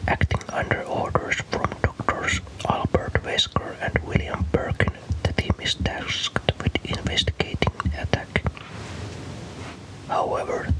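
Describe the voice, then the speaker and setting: A man narrates a story entirely in a soft, breathy whisper. His delivery is slow and deliberate, in the style of ASMR, with each phrase carefully set down as scripted storytelling. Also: rough recording. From Finland.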